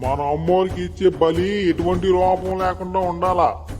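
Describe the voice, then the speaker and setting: A man's voice: high-pitched, little pitch movement, normal speed, natural voice. Guy doing fierce cartoon tribe chief, rustic talk, barking orders, echoey. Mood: stern, angry. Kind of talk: animated moral story dialogue. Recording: long hall-like reverb, music behind.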